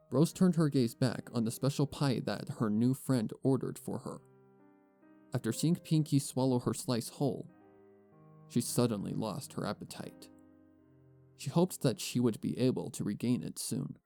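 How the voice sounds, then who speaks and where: Mellow male story narrator over music. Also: mid-range voice, gravelly.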